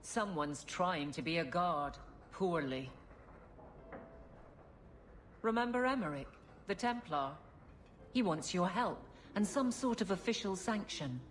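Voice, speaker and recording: A woman's voice: fairly low pitch, lively intonation, measured pace, slight background noise. A woman voicing a brusque, no-nonsense guard captain in a video game, blunt and dry. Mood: wry, businesslike. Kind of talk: video game dialogue acting.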